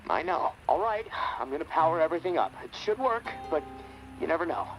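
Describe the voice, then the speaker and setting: An actor playing an excitable, wisecracking survivor and technician in a video game, chatty and jittery. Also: male voice, on the high side, quick pace, soft background music, low-quality recording. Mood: eager, nervous.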